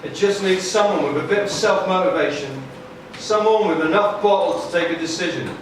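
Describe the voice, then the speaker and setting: Male performer as pompous old puppet-show dragon, grumbling indignantly, high-pitched, recorded far off in a noisy echoing hall. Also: a little fast, lightly acted. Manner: indignant, pompous, chatty British grandpa phrasing. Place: large room, live audience puppet show.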